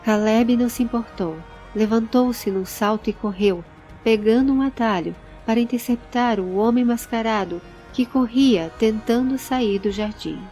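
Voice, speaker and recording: A woman with a Brazilian accent giving measured, dramatic storytelling narration for a scripted audio drama. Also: a little high, warm tone. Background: background music.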